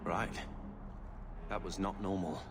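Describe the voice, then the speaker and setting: A man's voice: sits low, steady tone, takes it slowly. A man voicing a dry, understated adventuring companion in a video game. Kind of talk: video game dialogue acting. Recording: game capture with clear background noise.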